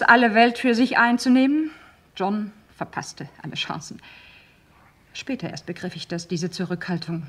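A woman's voice: on the low side, breathy edge. An actress playing an emotional, talkative bereaved woman in a German radio crime play, effusive and dramatic.

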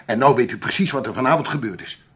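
A man's voice: mid-range voice, lively intonation, fast, fairly bright tone, slightly rough. A man playing an earnest, deferential car mechanic in a radio drama, plain-spoken, firm and respectful. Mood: grave, insistent.

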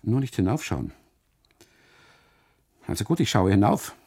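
An actor playing an uneasy husband on holiday in a literary radio monologue, narrating inwardly and haltingly in a gravelly, husky voice. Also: male voice, lowish voice, big pitch swings, darkish tone, heavily acted. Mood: anxious unease. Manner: halting, brooding, deliberate phrasing with repetitions and dramatic pauses. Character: uneasy German husband on holiday, obsessively narrating his story.

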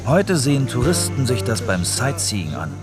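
A man's voice: mid-range voice, big pitch swings, normal speed. A man reading scripted narration for a radio documentary. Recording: music playing under the voice. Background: music with guitar.

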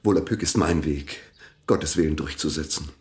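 A man's voice: a bass voice, moderate pace. An actor playing a zealous, pompous village priest who invented his own language, in a radio play. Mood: indignant, grandiose. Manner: pompous, insistent.

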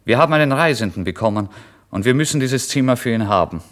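A man voicing a curt, money-minded landlady in a literary story, speaking briskly and insistently. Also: medium pitch, expressive, a bit bright, pitched above the performer's own voice, lightly acted. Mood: brisk demanding.

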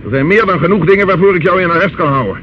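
A man playing a brisk, determined police investigator in a radio play, dry and commanding. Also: high-pitched, close to an everyday voice. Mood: firm, dry. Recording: muffled, noisy old radio recording.

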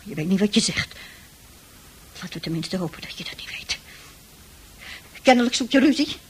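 A woman playing a middle-aged, nagging mother in a radio play, with a husky voice and a hesitant, reproachful manner. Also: medium pitch, animated, moderate pace, slight background noise, close to an everyday voice. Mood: hurt, stern. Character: worried, weary, nagging mother of a teenage son.

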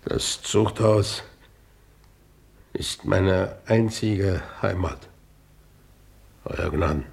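A man's voice: low voice, drawn-out words, creaky, darkish tone, exaggerated voice. An actor playing a ruined coachman and ex-convict, blunt, sardonic and accusing, in a 1950s German radio play. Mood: sardonic, resigned.

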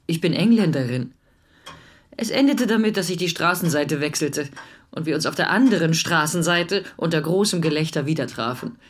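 Stubborn posh english mother, deep female voice, calm and matter of fact, radio drama. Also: moderate pace. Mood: composed, dry. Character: composed, stubborn middle-aged English aristocrat mother.

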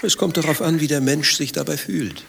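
An actor playing a vain, evasive lover in a radio play, speaking with a defensive, indignant manner. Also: male voice, a little high, vocal fry, fairly bright tone, breathy edge, full character voice. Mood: probing. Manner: defensive, indignant, quick to retort. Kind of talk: German radio play dialogue.

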